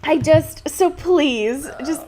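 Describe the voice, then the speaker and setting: High-pitched woman in casual podcast chat. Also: slow pace, dark tone, a little hiss or hum. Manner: informal, sweary, slangy. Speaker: podcast co-host. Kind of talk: casual, comedic book discussion chat.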